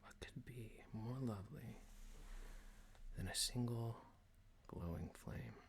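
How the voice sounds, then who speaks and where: A man performing an ASMR roleplay as a calm, genteel boss, speaking in a hushed, deliberate, soothing, often near-whispered way. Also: mid-range voice, vocal fry, a little hiss or hum. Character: calm, genteel male boss leading a relaxation ritual. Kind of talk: ASMR roleplay, guided relaxation.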